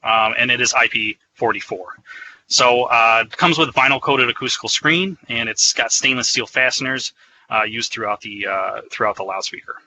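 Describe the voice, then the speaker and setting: A man's voice: mid-range voice, moderate pace, pushed and bright, a little hiss or hum, poor audio. A man giving an explanatory, conversational product presentation in a semi-scripted webinar style.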